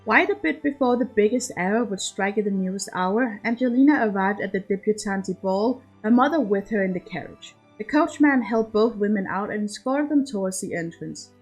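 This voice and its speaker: A woman's voice: medium pitch, expressive, moderate pace, few pauses, husky, low-quality recording. Woman narrating a story over faint music. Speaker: amateur podfic narrator.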